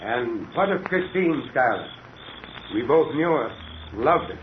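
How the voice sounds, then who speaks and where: An actor playing an earnest, insistent middle-aged doctor and concerned old friend in an old-time radio drama. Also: male voice, mid-range voice, big pitch swings, a little slow. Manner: solicitous, probing, emphatic. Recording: dull reverberant vintage radio recording, faint noise.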